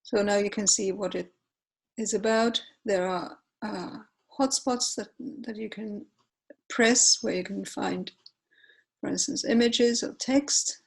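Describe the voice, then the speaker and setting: A woman in her forties, a native Finnish speaker with a Finnish accent, walks through a software tutorial while sharing her screen, often pausing with fillers and correcting herself. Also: mid-range voice, steady tone, vocal fry, husky, poor audio. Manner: unhurried, hesitant, many fillers and self-corrections. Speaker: tutorial presenter.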